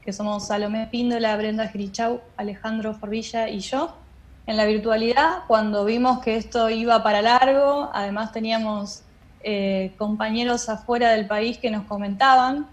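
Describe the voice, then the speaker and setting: A woman's voice: on the high side, fairly even intonation, moderate pace. A woman with an Argentine accent explaining things as a guest in an interview. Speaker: interview guest, theatre director and drama teacher.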